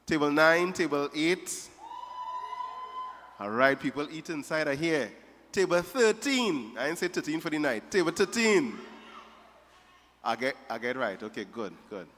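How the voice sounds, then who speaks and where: A man's voice: fairly high pitch, big pitch swings, a little slow, pauses often, a bit bright, a little hiss or hum. A man emceeing a wedding reception, playfully teasing the guests table by table. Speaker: master of ceremonies. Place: large reception hall. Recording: reverberant hall.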